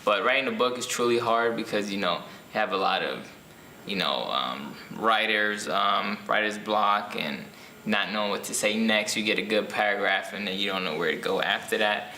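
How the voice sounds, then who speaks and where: A young man from Ethiopia, an author answering interview questions casually, pausing often with ums and restarts. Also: on the low side, sharp tone, echoey room, slight background noise. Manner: hesitant, many ums and restarts.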